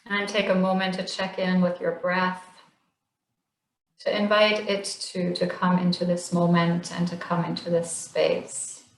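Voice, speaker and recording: A woman slowly leading a guided body scan meditation in a gentle, soothing voice with long pauses. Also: fairly low pitch, monotone, roomy sound, a little hiss or hum, low-quality recording. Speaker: meditation instructor.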